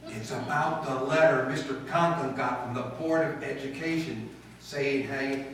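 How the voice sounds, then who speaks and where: A man playing a dim, halting teenage schoolboy sidekick in old-time radio comedy style. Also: medium pitch, steady tone, a bit bright, lightly acted. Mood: earnest, slow. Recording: echoey room, distant mic, faint noise.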